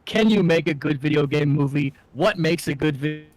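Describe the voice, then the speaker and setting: A young man chats casually as a podcast co-host. His voice sits a little high and is recorded dry, without room sound. He talks in a rambling way, cutting himself off and starting again. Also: moderate pace, darkish tone, no echo. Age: young adult. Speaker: podcast co-host and student filmmaker. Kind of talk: casual chat.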